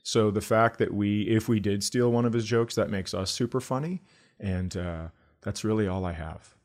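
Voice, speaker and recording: A man from the northern United States, a comedian co-hosting a podcast with casual, deadpan banter. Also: lowish voice, normal speed. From northern United States, near Canada.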